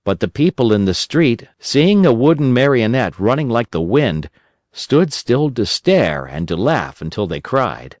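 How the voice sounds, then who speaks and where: A man reading a children's story as an audiobook narrator, in a gentle, expressive storytelling manner. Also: mid-range voice, measured pace, no room sound, studio quality.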